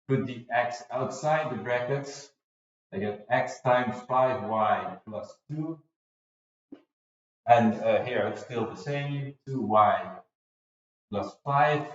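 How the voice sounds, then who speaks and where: A man in his forties, an English speaker, giving a recorded math lecture at a whiteboard, explaining step by step with frequent fillers. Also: mid-range voice. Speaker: university lecturer. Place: classroom with whiteboard, indoors. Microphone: far camera mic. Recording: dull, roomy classroom sound.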